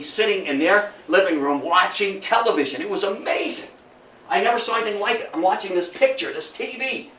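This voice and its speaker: A man's voice: fairly high pitch, a bit bright. An older man gives an informal history talk, telling stories emphatically, repeating phrases and asking rhetorical questions. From Athens, New York, United States. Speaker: local history lecturer. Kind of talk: informal public lecture with storytelling. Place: indoor talk before a small audience. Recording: echoey room, distant mic, faint noise.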